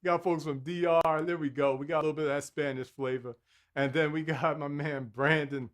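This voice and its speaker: A man hosting a live dating game show, energetic and laughing as he hypes up the contestants. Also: fairly high pitch, a little fast.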